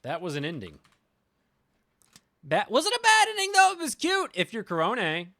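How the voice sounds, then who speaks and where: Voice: mid-range voice, huge pitch swings, normal speed, pushed and bright, no room sound. A streamer playing a visual novel, chatting casually and reading game text aloud in a playful, joking way, often repeating phrases. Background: game music.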